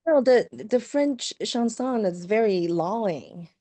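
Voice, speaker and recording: A woman panelist in a conversation, speaking in a thoughtful, measured way. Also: a little high, expressive, mellow, no room sound.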